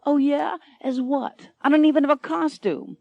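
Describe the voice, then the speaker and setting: A woman voicing a frazzled, overworked young male newsroom writer who speaks in a flustered, hurried way. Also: sits high, fairly even intonation, creaky, no room sound, phone-quality sound, voice pushed up.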